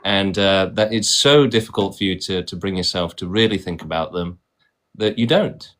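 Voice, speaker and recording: Insistent low-voiced male debate host. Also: normal speed, fairly bright tone. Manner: insistent, repeats "right?". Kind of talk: argumentative debate.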